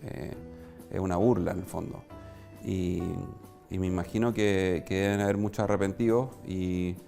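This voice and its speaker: A young man with a Chilean accent giving his opinion as an interview guest, halting and repeating words as he searches for the next one. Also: low voice, steady tone, gravelly, warm tone, soft background music. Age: young adult. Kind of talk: spontaneous opinion.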